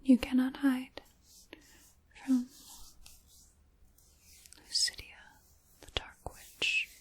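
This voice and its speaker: A woman playing a sinister, chaos-loving dark witch in a whispered, theatrical ASMR roleplay, soft-spoken and close to the microphone. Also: high-pitched, wildly swooping pitch, drawn-out words, slightly rough. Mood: menacing.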